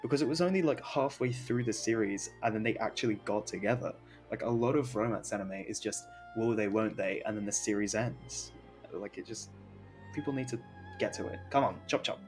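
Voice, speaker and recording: A man's voice: on the low side, huge pitch swings, a little fast. A young man casually commentating on a game stream in a slangy, rambling, jokey way. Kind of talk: casual live game stream commentary, reading aloud and reacting. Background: game music.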